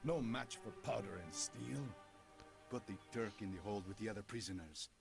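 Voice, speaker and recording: Low-voiced haughty Spanish conquistador. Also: male voice, moderate pace, vocal fry, over music. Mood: scornful. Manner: haughty, dismissive. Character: arrogant, greedy Spanish conquistador commander. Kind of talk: villainous video game cutscene acting.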